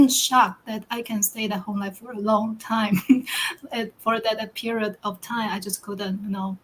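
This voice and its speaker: A woman from Taiwan chatting casually in an online group talk, speaking haltingly and often clearing her throat. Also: medium pitch, slight background noise, poor audio. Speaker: guest co-host.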